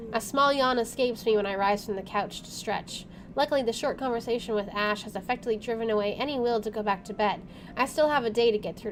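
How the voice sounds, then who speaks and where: A woman's voice: fairly high pitch, slight background noise. A woman playing a visual novel, reading the story aloud and dropping in casual asides. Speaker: game player, channel host.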